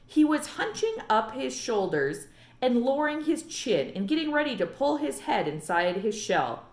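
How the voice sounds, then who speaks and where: A woman teacher narrating a children's storybook as an expressive read-aloud. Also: fairly high pitch, normal speed, reverberant. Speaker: elementary teacher.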